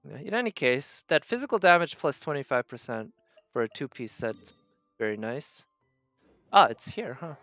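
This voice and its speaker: A man's voice: mid-range voice, expressive, moderate pace, fairly bright tone, no room sound, highs cut off, soft background music. A man doing casual live game commentary, thinking aloud and trailing off as he goes. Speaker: game streamer.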